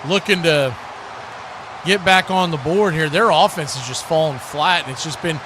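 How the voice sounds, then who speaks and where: Male play-by-play host, somewhat high voice, switching between game calls and casual chat, clear background noise. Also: moderate pace. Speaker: radio host calling play-by-play. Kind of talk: sports play-by-play commentary mixed with casual chat.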